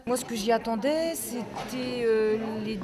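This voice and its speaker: A woman's voice: a little high, measured pace. A woman answering informally in an interview, tentative and rephrasing as she goes. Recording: chatter behind the voice.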